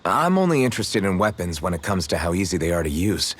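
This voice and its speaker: A husky, plainspoken young swordsman. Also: sits low, big pitch swings, quick pace, full character voice. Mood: unsure, self-deprecating. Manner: casual, unpretentious. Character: plainspoken young swordsman, modest, good-natured, loyal. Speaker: video game protagonist. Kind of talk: English-language video game character dialogue.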